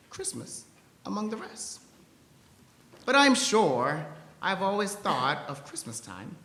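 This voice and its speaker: A man playing a cheerful, warm-hearted nephew in a theatrical stage reading, earnest, declamatory and pleading. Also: a little high. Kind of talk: theatrical dramatic reading of Victorian story. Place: large church interior. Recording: echoey hall with long reverb.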